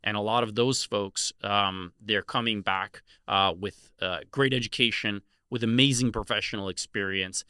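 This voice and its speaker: Bright-voiced male podcast co-host. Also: lowish voice, normal speed, hardly any pauses, smooth, no echo, clean studio sound. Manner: relaxed, filler-heavy, earnest. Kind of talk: casual podcast discussion.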